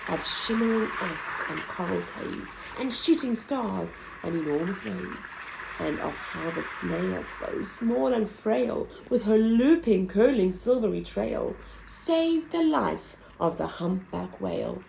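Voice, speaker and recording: Woman storybook reader, slow sing-song rhymes, creaky edge to the voice. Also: mid-range voice, expressive, darkish tone, a little hiss or hum, rough recording. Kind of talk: reading a picture book aloud.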